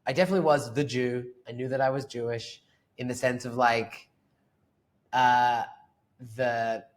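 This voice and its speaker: A man's voice: medium pitch, steady tone, measured pace. A man, a painter guesting on a podcast, talking casually and rambling with many fillers. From Australia. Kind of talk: casual interview talk.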